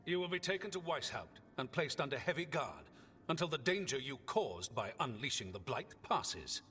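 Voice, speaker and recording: An actor playing a stern, dismissive military commander in a video game, speaking with pompous, deliberate authority. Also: male voice, mid-range voice, sing-song, sharp tone. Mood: stern, accusing. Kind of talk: dramatic video game dialogue. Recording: long reverb, music behind.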